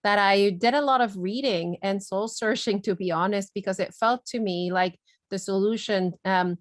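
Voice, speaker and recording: A woman's voice: medium pitch, normal speed, no room sound. Middle-aged woman from guatemala on a webinar call, earnest, lots of ums and repeats, dry close sound. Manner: earnest, many fillers and repeated words. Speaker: neurologist and webinar speaker. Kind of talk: webinar presentation and Q&A.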